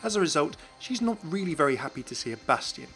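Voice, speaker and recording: A man's voice: on the high side, sing-song, fast, fairly bright tone, soft background music. A man giving an explanatory voice-over as the host of a game lore series.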